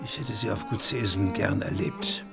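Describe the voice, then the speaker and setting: A gravelly, bewildered professional. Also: male voice, on the low side, moderate pace, loud music behind the voice. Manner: hesitant, earnest. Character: earnest, bewildered professional man. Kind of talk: radio drama.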